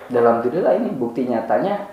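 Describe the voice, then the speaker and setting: A man's voice: medium pitch, fast talker, highs cut off. A man from Madura, a podcast guest chatting casually, self-assured and slangy, his voice jumping up to stress points. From Madura, Indonesia. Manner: animated and self-assured, slangy, voice jumps up for emphasis. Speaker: podcast guest, content creator. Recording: echoey room with faint noise.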